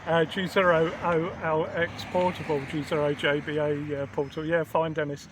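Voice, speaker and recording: Higher-voiced man in outdoor noise. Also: flat delivery, normal speed. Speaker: amateur radio operator. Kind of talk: casual radio contact chat. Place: outdoors at a portable radio station. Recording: clear outdoor background noise.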